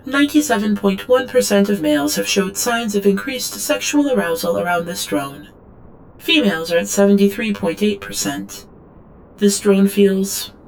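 A woman's voice: medium pitch, gravelly, slight background noise, natural voice, poor audio. An actress playing an obedient female android drone in an audio drama, reciting logs in a clipped, literal, detached way. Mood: earnest, devoted. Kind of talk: audio drama log narration.

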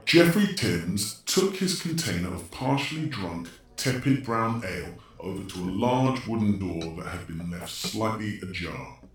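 A man narrates a story in a very echoey recording, a little away from the mic. His voice is fairly low, and he reads the script with dry wit. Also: expressive, unhurried. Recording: very echoey, slightly distant from mic.

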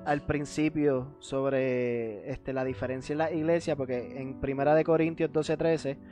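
A man's voice: medium pitch, steady tone, a little slow, mellow, soft background music. A man in a casual church discussion, speaking in a preacherly way and citing scripture. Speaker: panelist.

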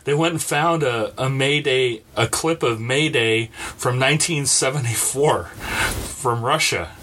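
Male podcast host, sharp bright tone, casual animated storyteller, slight noise on the recording. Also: mid-range voice, measured pace.

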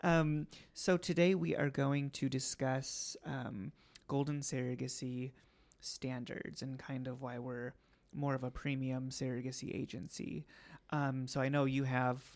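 A man hosting a podcast, slightly hoarse today, explaining things casually with many ums. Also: mid-range voice, level tone, unhurried, a little mellow. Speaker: podcast host.

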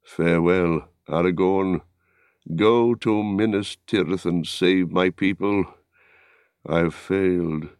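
A mortally wounded warrior, acted by a male narrator, spent and full of remorse. The words come very slowly and weakly, low and halting, in a dry fantasy audiobook recording. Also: fairly even intonation, a little mellow, smooth, no echo. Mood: weary, failing. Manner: halting, weak, slow words.

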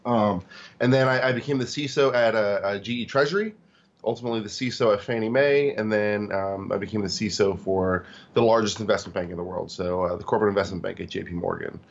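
A man in his forties, a guest in an interview, talking in a halting way with many fillers and restarts. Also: fairly low pitch, dull audio. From Tacoma, Washington, US. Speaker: guest, cybersecurity executive. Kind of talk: interview conversation.

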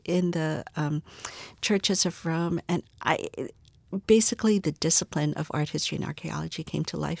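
A woman's voice: low voice, gravelly, no echo, studio quality. A middle-aged woman from the Philippines, a museum curator answering interview questions thoughtfully, often repeating words as she searches for the right phrase.

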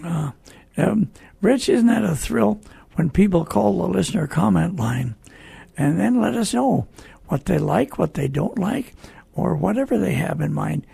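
Very gravelly, husky elderly man. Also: high-pitched, expressive, measured pace, warm tone, no room sound. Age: 80s. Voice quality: weakened, raspy elderly voice. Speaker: radio host. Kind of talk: casual on-air chat.

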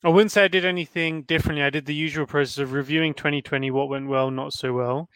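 A man in his thirties in a casual group discussion, laying out his thoughts in a measured, orderly way with frequent "um"s. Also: fairly high pitch, steady tone, fairly bright tone, clean tone. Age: 30s. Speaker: panel guest.

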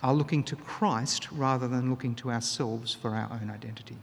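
A man preaching a sermon, earnest and emphatic, pressing his key points louder. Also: mid-range voice, steady tone, a little fast, vocal fry, long reverb tail, a little hiss or hum. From Australia.

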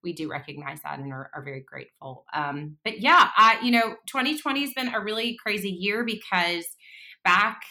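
A woman's voice: mid-range voice, animated, normal speed, sharp tone. A woman in her late thirties, a guest songwriter and worship leader, chatting casually with frequent laughs and fillers. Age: 30s. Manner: chatty, laughs often, many fillers and restarts. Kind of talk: casual interview chat.

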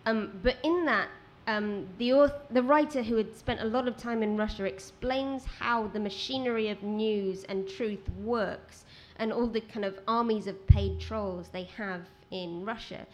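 A woman with a quiet voice, a guest writer talking at a live event, rambling with many restarts. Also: a little high, a little slow, few pauses, slight background noise. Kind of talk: conversational talk at a live event. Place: outdoor event with rain.

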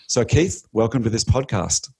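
A man's voice: medium pitch, very animated, a little mellow, breathy edge, no echo. Podcast host guy, eager, drags out words for emphasis, dry sound. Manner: enthusiastic, drawn-out emphasis. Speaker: podcast host, change consultant. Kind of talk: podcast interview hosting. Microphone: video call.

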